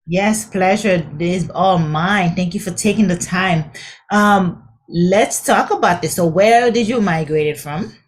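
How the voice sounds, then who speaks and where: A woman's voice: lowish voice, slow pace. A woman from Haiti hosting a podcast interview, upbeat and encouraging. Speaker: host.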